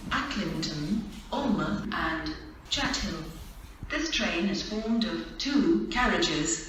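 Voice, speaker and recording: A woman reading automated railway station announcements in measured, separate phrases over a public address system. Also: medium pitch, fairly bright tone, recorded from a distance. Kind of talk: automated scripted train announcements. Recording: echoey station PA from afar, faint wind noise.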